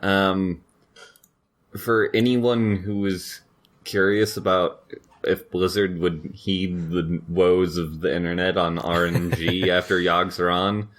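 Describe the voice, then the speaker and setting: Low-voiced man, wry podcast co-host. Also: little pitch movement, slow. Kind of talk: casual gaming news chat.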